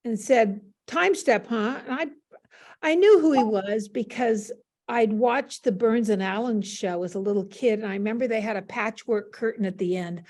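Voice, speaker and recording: A woman's voice: fairly high pitch, a little mellow. An older woman from Southern California, a guest on a video call, reminiscing in a candid, conversational and anecdotal way. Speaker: interview guest, former performer.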